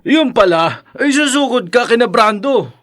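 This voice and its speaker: An actor playing a cautious, scolding friend in a Filipino radio drama, with exclamatory, admonishing delivery. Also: male voice, extremely high pitch, animated, a little slow, fairly bright tone, full character voice. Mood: alarmed, scolding. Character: cautious, scolding friend who owns a gun.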